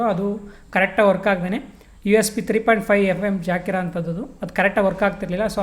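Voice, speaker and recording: A woman vlogger talks through a hands-on tutorial at a quick pace, in a mid-pitched voice. Her is colloquial, and she mixes English words into casual, step-by-step instructions. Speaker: vlogger demonstrating gear installation.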